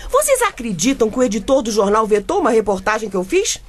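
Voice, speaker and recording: A woman's voice: a little high, sing-song, fast talker. An actress with a Brazilian accent playing an indignant, crusading mother and newspaper reporter in a comedic radio drama, emphatic and dramatic. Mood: outraged. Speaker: actor.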